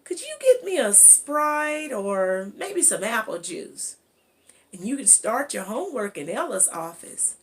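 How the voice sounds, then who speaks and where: An affectionate storybook mom, voiced by a woman reader. The pitch is a little raised and the pace is unhurried, as she speaks fondly to her child. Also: big pitch swings. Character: affectionate mother, an editor at her office.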